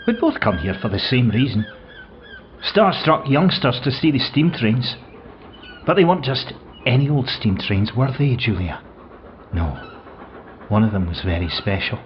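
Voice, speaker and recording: An actor playing an older widowed man in an audio play, grieving and tender, speaking in a hushed, halting, reflective way with a husky voice. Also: mid-range voice, muffled recording. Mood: wistful, tender. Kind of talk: audio play dramatic monologue.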